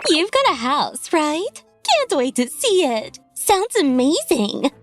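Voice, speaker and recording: Woman acting very high, perky young girlfriend. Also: very animated, takes it slowly, faint music behind, exaggerated voice. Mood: perky, curious. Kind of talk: dramatized manga dub dialogue.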